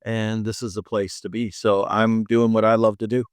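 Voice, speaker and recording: A man's voice: fairly low pitch, little pitch movement, normal speed, clear, resonant tone, dry recording, clean studio sound. A middle-aged man from Montana with an American accent, telling his own story earnestly as an interview guest. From Montana, United States. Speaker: interview guest, hospital chief medical officer. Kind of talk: reflective personal storytelling in an interview.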